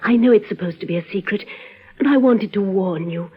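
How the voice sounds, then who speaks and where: An actress in an old radio drama playing a young, dutiful but quietly defiant European daughter, earnest and careful. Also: female voice, mid-range voice, a little fast, breathy edge, natural voice. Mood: ashamed, urgent. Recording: tinny, muddy vintage radio recording.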